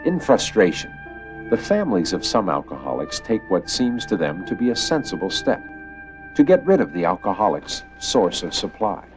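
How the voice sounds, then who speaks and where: A low-pitched man narrates an old educational film with grave, measured phrasing. His intonation moves expressively over a musical backing, in a scripted documentary style. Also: sing-song, music in the background, poor audio.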